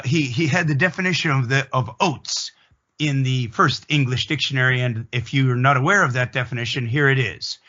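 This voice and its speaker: A Canadian man from Atlantic Canada with a Canadian accent gives an opinionated, emphatic explanatory monologue, telling it deliberately like a story in an interview. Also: medium pitch, pushed and bright, dull audio.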